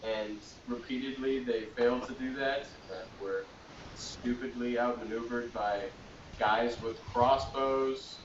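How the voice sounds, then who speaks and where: A young man giving a casual, critical review with blunt, halting, drawn-out phrasing, heard on a poor roomy recording. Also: medium pitch, steady tone, a crowd in the background. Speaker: podcast panelist reviewing TV episodes. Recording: roomy, distant, dull, noisy mic.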